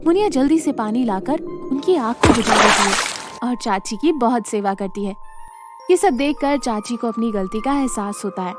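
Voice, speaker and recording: A woman's voice: on the high side, expressive, moderate pace, over loud music. A woman narrating a scripted children's moral story as the storyteller.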